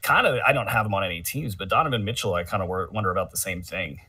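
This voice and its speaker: A man co-hosting a casual sports chat, talking in a halting, conversational way, a little away from the microphone. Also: deep voice, sharp tone, no echo, far from the mic. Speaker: podcast co-host. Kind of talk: casual fantasy basketball chat.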